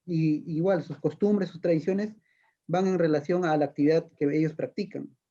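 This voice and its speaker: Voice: mid-range voice, fairly even intonation, normal speed, dark tone, muffled recording. An interviewer asking a long, conversational question, hesitating and repeating words.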